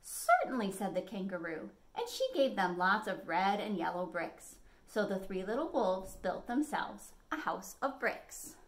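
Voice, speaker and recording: A middle-aged woman reads a children's picture book as a storytime host. Her voice sits at a medium pitch, and she tells the tale expressively, leaning on key words. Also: measured pace, hardly any pauses. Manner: animated storytelling with dramatic emphasis. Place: living room at home, indoors.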